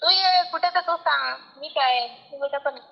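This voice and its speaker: A woman's voice: high-pitched, unhurried, creaky. A young woman with a Maharashtrian accent chatting casually on a phone call. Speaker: call recipient. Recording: slightly dull phone call audio.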